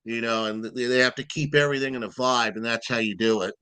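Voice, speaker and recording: A man with a naturally loud voice talks at length as an interview guest, rambling with a lively up-and-down delivery. His tone is bright and forceful. The sound is dry and close, with no room echo. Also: medium pitch, quick pace, clean tone. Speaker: guest, event timekeeper. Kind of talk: casual interview chat.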